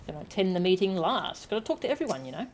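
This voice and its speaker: A woman's voice: medium pitch, very animated, quick pace, slight background noise. A woman giving casual live commentary as she streams a video game, chatting with viewers.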